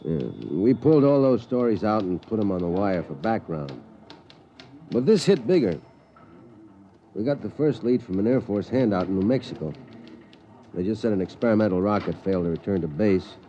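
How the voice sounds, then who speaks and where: An actor playing a gruff, jaded newspaper night editor, rambling offhandedly in a 1950s radio drama. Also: male voice, fairly low pitch, lively intonation, normal speed, warm tone, lightly acted. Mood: reminiscing. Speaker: news editor. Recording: dull, noisy 1950s radio recording.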